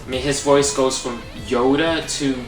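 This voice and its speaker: A man's voice: mid-range voice, steady tone, measured pace. A young man hosting a comedic movie review, sarcastic and exasperated. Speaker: host. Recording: echoey, distant, under music.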